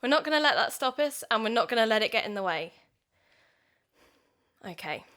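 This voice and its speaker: A woman's voice: on the high side, very animated, a little fast, a bit bright. A woman playing an anxious but determined young podcaster in an audio drama.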